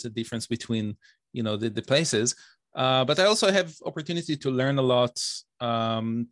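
A man's voice: medium pitch, fairly bright tone, dry recording, clean studio sound. A man in his forties with an Israeli accent, answering interview questions with many fillers and restarts. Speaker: author guest. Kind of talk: interview conversation.

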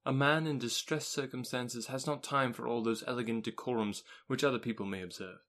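A man voicing a witty, spirited young woman who talks with brisk irony and bursts into rapture in a storybook reading. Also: mid-range voice, fairly even intonation, fairly bright tone, natural voice. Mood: arch. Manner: brisk and ironic, turning rapturous.

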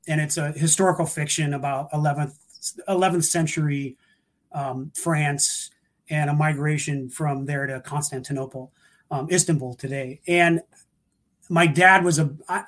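A man in casual conversation, talking in an earnest, reflective way with many restarts over a video call. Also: fairly high pitch.

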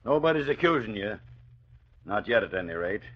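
Gruff, impatient police sergeant. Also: male voice, lowish voice, very animated, a little fast. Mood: firm. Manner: brusque, curt, commanding. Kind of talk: old-time radio drama acting. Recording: dull, lo-fi old radio recording.

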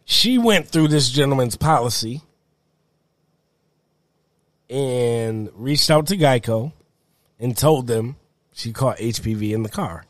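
A man co-hosting a podcast who tells stories in animated, quick bursts during casual banter. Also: mid-range voice, no room sound. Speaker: podcast co-host.

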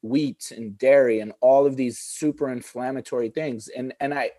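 A man's voice: mid-range voice, fairly even intonation, moderate pace, dark tone, dry recording. A man in his forties, born in Egypt with Arabic as his first language, talking earnestly as a podcast guest and often restarting his sentences. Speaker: guest, juice bar founder. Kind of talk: podcast conversation.

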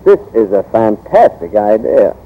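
An actor playing a dignified, skeptical American secretary of state in old-time radio drama, formal, crusty and incredulous. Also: male voice, fairly low pitch, very animated, unhurried. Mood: exasperated, incredulous. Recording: dull vintage radio recording.

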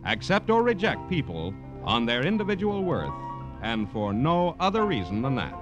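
A man with an American accent announcing formally for 1950s radio, polished, measured and declarative. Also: mid-range voice, wildly swooping pitch, muffled recording. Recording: vintage radio recording, music behind.

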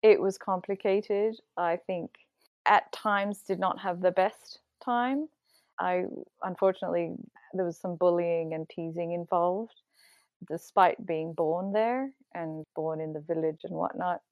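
A young woman from Bali, a guest speaking thoughtfully in a casual conversation, pausing to choose her words. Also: on the low side, steady tone, dry recording, dull audio. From Indonesia (Bali). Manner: reflective, thoughtful, with hesitations.

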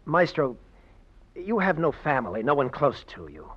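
A man playing an intense, covetous pupil of a maestro in an old radio drama, with a husky voice. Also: medium pitch, sing-song, moderate pace. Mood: pleading, covetous. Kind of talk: 1940s radio drama acting. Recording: muffled old radio recording, faint music.

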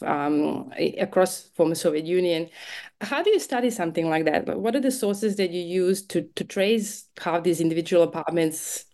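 Friendly woman podcast host. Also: lowish voice. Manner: friendly, warm, laughs easily, with fillers. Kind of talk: interviewing a guest.

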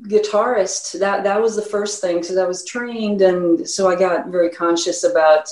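A woman's voice: medium pitch, fairly even intonation, moderate pace, darkish tone, roomy sound, poor audio. A woman who grew up in Sweden, with a slight Swedish accent, talking casually as a musician guest in an interview and rambling with many fillers.

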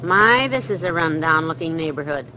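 An actress playing a sensible, kindly, take-charge wife in an old-time radio comedy. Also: female voice, sits low, animated, moderate pace, background noise. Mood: calm.

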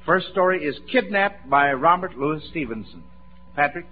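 A man's voice: fairly high pitch, lively intonation, normal speed, slightly rough. An American man hosting a 1940s radio quiz show, folksy and encouraging, with chuckles in his talk. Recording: dull vintage radio broadcast recording.

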